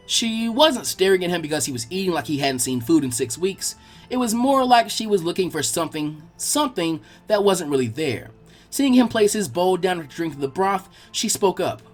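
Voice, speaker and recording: Animated, higher-voiced male storyteller. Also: moderate pace, soft background music. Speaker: narrator. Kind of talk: expressive fan fiction narration.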